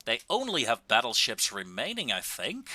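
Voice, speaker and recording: Bright-voiced man doing lively game commentary. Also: medium pitch, normal speed, dry recording. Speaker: video game streamer and commentator. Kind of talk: casual gameplay commentary.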